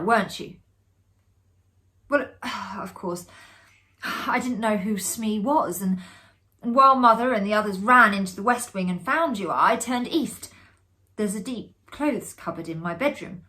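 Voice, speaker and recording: A woman voicing a shaken, sheepish young man in a ghost story, halting and repeating words. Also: medium pitch, pushed and bright. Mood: shaken, evasive. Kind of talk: performed reading of a ghost story.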